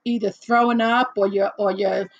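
A middle-aged woman hosting a casual livestream chat, rambling and chatty with lots of fillers and laughs, a little hoarse as she loses her voice. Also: mid-range voice, fairly even intonation, moderate pace, no room sound, highs cut off. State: losing her voice, slightly hoarse. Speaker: livestream host. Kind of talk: casual chat with live viewers.

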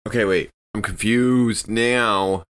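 A man playing a polite but confused spaceship crewman in a tabletop game, halting and stammering as he questions. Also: fairly low pitch, fairly even intonation, a bit bright. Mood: confused, hesitant.

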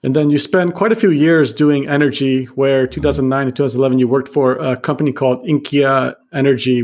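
A man hosting an interview podcast, reading a measured intro and then asking questions in a friendly, chatty way. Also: mid-range voice. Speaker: podcast host. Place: Kuala Lumpur.

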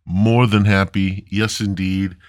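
A man hosting a solo comedy podcast, rambling casually and crudely with drawn-out, mock-grandiose theatrical delivery. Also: deep voice, little pitch movement, no room sound, clean studio sound. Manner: crude, foul-mouthed. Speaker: podcast host.

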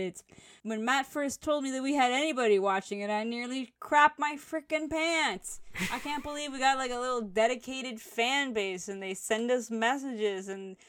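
A woman's voice: on the high side, a bit bright, clean tone. A woman co-hosting a podcast in casual chat, giggly and teasing, swearing freely. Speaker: podcast co-host.

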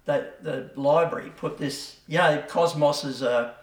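A man's voice: on the high side, measured pace, recorded from a distance. An older man, a podcast guest, telling a rambling yarn in casual chat, full of slang and chuckles. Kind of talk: casual storytelling. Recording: roomy, from off the mic.

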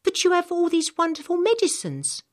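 A woman acts out an eager young girl from a storybook. The voice sits high, far above a grown narrator's, and is earnest and curious. It has a passionate, openhearted urgency. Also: wildly swooping pitch, normal speed, full character voice. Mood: worried.

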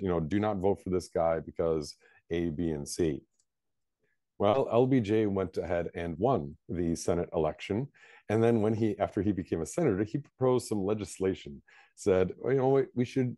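A man's voice: low-pitched, dark tone, no echo. A man who is a pastor and book editor, co-hosting a podcast in casual conversation, chatty with lots of fillers. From United States. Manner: chatty, many fillers, laughs often.

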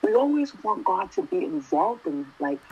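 A woman's voice: mid-range voice, very animated, moderate pace, warm tone, breathy edge, lo-fi sound, soft background music. A woman in her twenties giving a casual sermon, talking conversationally and often asking "right?". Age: 20s. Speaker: youth preacher.

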